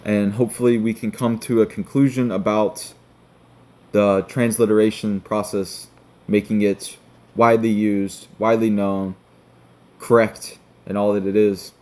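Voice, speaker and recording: Slow-talking man teaching on screen. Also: on the low side, flat delivery, a little mellow. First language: not Hebrew. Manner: slow, checking in with "okay?". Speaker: online teacher. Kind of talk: screen-share tutorial.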